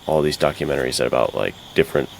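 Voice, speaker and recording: Extremely deep-voiced man in earnest chat. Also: fast talker, creaky, slight background noise. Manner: earnest, informal. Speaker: podcast co-host. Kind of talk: casual podcast chat.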